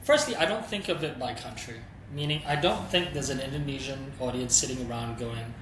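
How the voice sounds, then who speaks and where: Exec guy in an interview, candid and thinking out loud, echoey room with noise behind. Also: medium pitch, a little fast. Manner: thoughtful, candid, thinks aloud. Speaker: streaming executive guest. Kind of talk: conversational interview answers. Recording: roomy echo with steady background noise.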